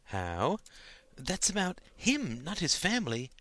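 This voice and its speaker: A man's voice: on the high side, huge pitch swings, takes it slowly, sharp tone, no room sound, pitched above the performer's own voice, heavily acted. A man voicing a gossipy, giggly teenage girl chatting in slangy audiobook dialogue. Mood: earnest, confiding. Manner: chatty, slangy, girlish delivery.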